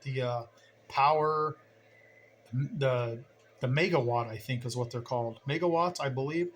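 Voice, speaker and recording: A man giving a casual, unscripted tour of his hobby gear, rambling and thinking aloud in a folksy way. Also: medium pitch. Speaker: hobbyist radio operator giving a station tour. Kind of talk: casual unscripted equipment walkthrough. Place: indoors at home radio bench. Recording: noticeable background noise, home video.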